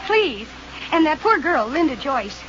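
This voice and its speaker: A starry-eyed young stewardess in a vintage radio adventure. Her voice is very high and gushing. The old recording is muffled, with faint noise. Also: sing-song, moderate pace, a bit bright. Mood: admiring, eager. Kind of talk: old-time radio adventure serial acting.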